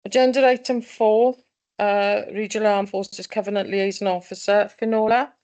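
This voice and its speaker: A woman chairs a formal panel in a council meeting room. She moves briskly from one agenda item to the next. Her pitch sits in the middle of the range. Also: little pitch movement, a little mellow, rough recording. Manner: brisk, runs through agenda items.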